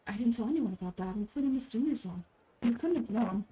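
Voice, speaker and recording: Woman doing a tough merc lady for a game, frank, no nonsense, mellow tone. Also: fairly high pitch, expressive, quick pace, a little hiss or hum, natural voice. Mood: skeptical. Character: tough, blunt desert mercenary woman.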